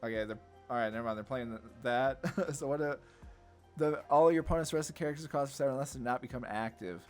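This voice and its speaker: A man's voice: medium pitch, a little fast, soft background music. A man giving casual, laid-back gameplay commentary on a card game, thinking aloud as he plays. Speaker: gaming content creator.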